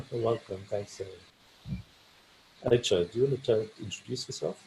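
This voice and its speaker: A low-voiced man hosts a video call, pausing often with many fillers as he moves things along. A faint hiss of background noise sits under his voice. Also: darkish tone, low-quality recording. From South Africa. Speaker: webinar host, family physician. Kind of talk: casual hosting of a video call.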